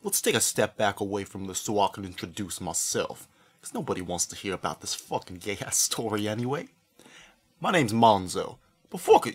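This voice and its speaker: A man voicing an irritated, foul-mouthed tough investigator with a husky voice, profane and impatient, in cartoon parody narration. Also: lowish voice.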